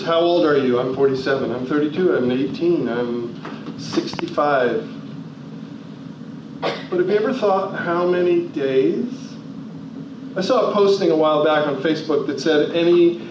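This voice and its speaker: A man in his forties from New Jersey, giving a motivational talk with emphatic rhetorical questions and shifting pace. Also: on the high side. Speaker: motivational speaker. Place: large hall. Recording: echoey, distant, dull hall recording with noise.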